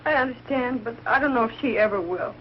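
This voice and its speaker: A woman's voice: a little high, quick pace, close to an everyday voice. An actress playing a firm, understanding housewife and mother in a 1940s sitcom. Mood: firm, concerned. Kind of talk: 1940s American sitcom acting. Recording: dull old broadcast, faint hiss.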